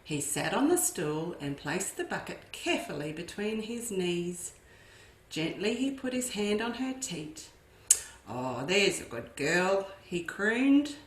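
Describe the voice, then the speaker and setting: A woman's voice: mid-range voice, big pitch swings, measured pace, slight background noise. A woman, a children's educator, reading a farm story aloud expressively and turning to her young listeners with asides. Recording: echoey room.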